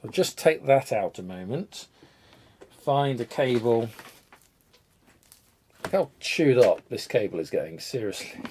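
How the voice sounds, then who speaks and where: A man's voice: medium pitch, expressive, a little slow, long pauses, a little mellow, slight background noise. A man, congested from a cold, chatting casually and rambling as he tinkers with hardware on a live stream, poking fun at his own disorganisation. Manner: rambling, self-deprecating, thinks aloud while tinkering. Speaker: retro computer channel host.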